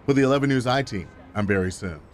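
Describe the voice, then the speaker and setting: A middle-aged man reporting an investigative news story in a measured, emphatic scripted delivery. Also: medium pitch, slight background noise.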